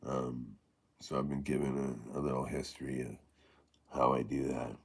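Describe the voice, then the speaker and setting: A middle-aged man with a low voice chatting casually about playing harmonica, halting and rambling with many fillers and restarts. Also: steady tone, vocal fry, slightly rough. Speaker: harmonica player giving tips. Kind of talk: casual live-stream chat.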